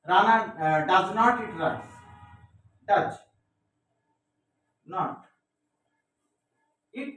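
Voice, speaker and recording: A man's voice: sits high, animated, very slow, long pauses, a bit bright, lo-fi sound. A man teaching English grammar step by step in a didactic way, asking and answering his own questions and repeating key words. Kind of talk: step-by-step classroom lesson. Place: echoey indoor room. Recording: very echoey room, distant microphone.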